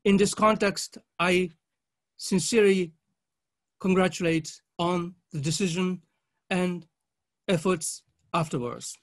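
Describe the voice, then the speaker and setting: Guy w high voice, doing a formal conference lecture, careful and halting, short phrases. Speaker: conference speaker, legal academic. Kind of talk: formal academic conference presentation read with slides.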